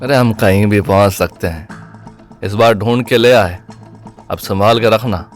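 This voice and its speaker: A man's voice: fairly low pitch, a little slow, lightly acted. A man playing a cocky, scheming young student leader. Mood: smug. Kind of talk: Hindi audio drama acting. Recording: music bed behind the voice.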